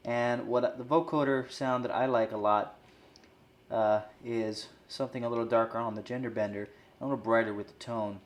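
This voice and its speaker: A man's voice: mid-range voice, steady tone, normal speed. Man giving an informal demo, roomy echo. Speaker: host reviewing guitar gear. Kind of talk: casual product demo and review. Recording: roomy echo, noticeable background noise.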